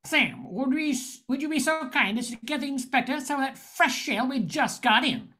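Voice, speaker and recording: A woman's voice: high voice, lively intonation, moderate pace, pushed and bright. A woman playing a wry nightclub owner who narrates a space noir in an amateur radio play. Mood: evasive, wry.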